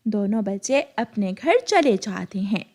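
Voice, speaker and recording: A woman's voice: mid-range voice, big pitch swings, unhurried, darkish tone. A woman narrating a scripted cartoon story.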